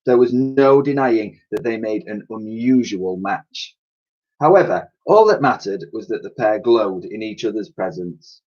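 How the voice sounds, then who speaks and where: A man reading a children's story aloud in an animated, expressive storytelling style. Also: fairly low pitch, unhurried, lo-fi sound.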